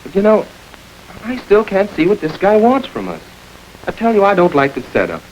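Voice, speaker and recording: A man's voice: sits high, wildly swooping pitch, moderate pace, slight background noise, rough recording. An actor playing a suspicious, streetwise young man and fiancé in a 1930s crime film. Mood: wary. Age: young adult.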